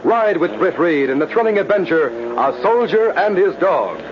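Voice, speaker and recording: A man's voice: sits high, very animated, moderate pace, background noise. Male 1940s radio serial announcer, theatrical and emphatic, big swooping delivery, dull vintage broadcast with music behind. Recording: muffled old radio broadcast.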